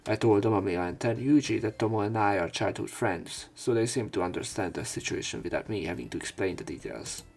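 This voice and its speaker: A man's voice: on the low side, brisk, vocal fry, few pauses, a little mellow, soft background music. A man reading visual novel narration aloud as a let's play commentator, dropping in casual, wry asides.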